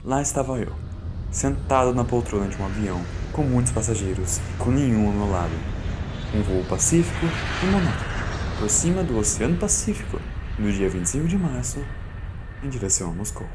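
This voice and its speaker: Man as haunted scientist, solemn, deliberate, reflective audiobook narration, medium pitch, musical backing. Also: expressive, music in the background, close to an everyday voice. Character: Doctor Carlos, fearful, reflective man of science recounting events. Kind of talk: scripted first-person audiobook narration.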